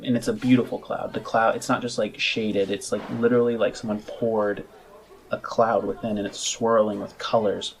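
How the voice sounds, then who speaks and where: A man narrating a tabletop roleplaying game as game master, improvising in halting, measured phrases. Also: fairly low pitch, flat delivery, slight background noise.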